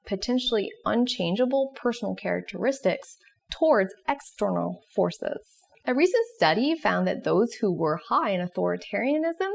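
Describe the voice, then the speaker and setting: Animated, creaky-voiced woman presenter. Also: mid-range voice. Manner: expressive, questioning. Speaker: presenter of educational psychology videos. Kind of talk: scripted explanatory narration with casual asides.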